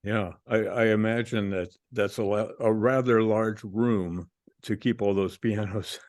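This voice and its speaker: A man hosting a classical music radio interview, asking measured, polite questions with small hesitations. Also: fairly low pitch, steady tone, a little mellow. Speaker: radio host.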